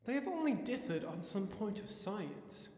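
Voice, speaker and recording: Guy acting a worried victorian lawyer, formal n measured, big reverb, voice moves around a lot, audio drama. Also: sits high, sing-song, darkish tone. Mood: probing, polite. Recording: echoey, long reverb.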